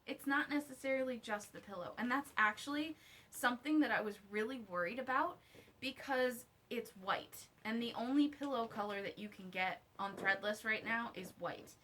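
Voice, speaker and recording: A woman vlogger talking casually to camera in an unboxing style, slangy and informal, often thinking out loud to herself. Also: a little high, normal speed, slight background noise. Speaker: vlogger reviewing her own merch.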